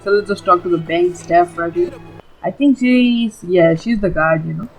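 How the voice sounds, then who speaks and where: A woman's voice: lowish voice, normal speed, low-quality recording. A woman giving casual, chatty let's play commentary on a video game, leaning on little verbal tags. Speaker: gaming video host. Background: game music.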